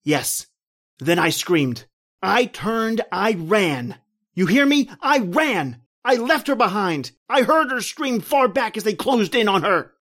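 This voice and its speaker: A man's voice: sits high, expressive, slow pace, full character voice. A man acting out a terrified man telling how he died in the tar pits, in old-time radio drama style. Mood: panicked. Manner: intense, rising to panicked shouting. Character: terrified man narrating his own death.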